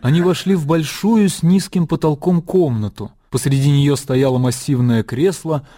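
A man narrating a literary radio drama in a measured storytelling manner. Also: on the high side, warm tone.

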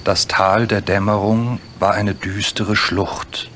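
A man's voice: on the low side, level tone, slow, a bit bright, slight background noise. A man narrating a scripted children's story as its storyteller. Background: music.

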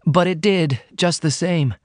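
A man's voice: fairly high pitch, unhurried, dry recording, studio quality, voice pushed up, lightly acted. A man reading aloud, voicing a young woman who speaks in pleading, earnest tones.

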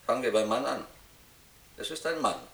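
A man's voice: medium pitch, expressive, brisk, fairly bright tone. An actor playing a hapless, literal-minded seaman in a radio play, earnest and plaintive as he dutifully answers his captain. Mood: earnest, puzzled.

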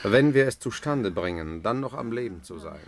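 An actor playing a defiant, level-headed captive journalist in a 1960s German radio thriller, firm and dryly sarcastic. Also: male voice, on the low side, lively intonation, moderate pace. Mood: grim, dry.

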